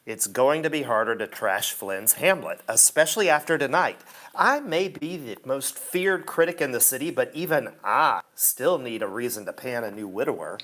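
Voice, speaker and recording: Actor as a pompous, swooping theatre critic. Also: male voice, medium pitch, fairly bright tone, lightly acted. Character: pompous, resentful male theatre critic, craven accomplice. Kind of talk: radio play acting.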